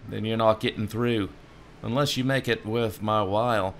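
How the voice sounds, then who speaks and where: A man's voice: lowish voice, fairly even intonation, normal speed, a little hiss or hum, lightly acted. A man voicing a lazy, bribe-seeking male knight on guard duty in an adventure game. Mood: officious.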